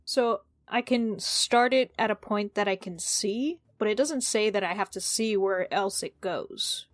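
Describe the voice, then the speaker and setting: A woman's voice: mid-range voice, measured pace, dry recording, clean studio sound. A woman playing a tabletop roleplaying game, chatting casually as she works out her character's moves.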